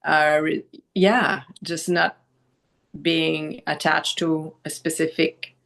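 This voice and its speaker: A woman's voice: low-pitched, little pitch movement, takes it slowly, vocal fry, fairly bright tone. A woman from Quebec with a Quebec French accent, a guest in a podcast interview, talking reflectively and laughing often. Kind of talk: casual conversational interview.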